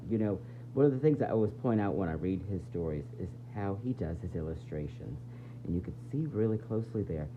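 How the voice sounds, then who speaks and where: A man reading a picture book aloud as a preschool teacher, expressive and explaining things directly to young children. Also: fairly low pitch. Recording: tinny phone-like audio with faint noise.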